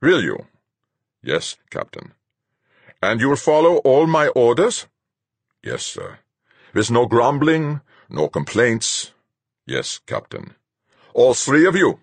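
A man's voice: medium pitch, wildly swooping pitch, slow, gravelly, pauses often, breathy edge, dry recording, voice pushed up, full character voice. A man voicing a cold, commanding male ship captain who snaps clipped, menacing orders.

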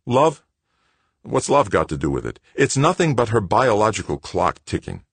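A man's voice: fairly low pitch, very animated, normal speed, creaky, breathy edge, dry recording, voice pushed up, heavily acted. A man voicing a volatile, bitter adult son in an audiobook, with a snarling, ranting delivery. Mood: bitter, shocked. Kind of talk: audiobook character acting.

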